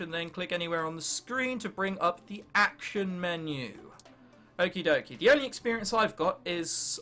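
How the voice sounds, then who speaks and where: A man's voice: high voice, bright, forceful tone. A nineteen-year-old man with an English accent doing casual, jokey let's play commentary, swearing freely and reading game text aloud. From England. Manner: jokey, sweary, self-interrupting. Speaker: gaming video host.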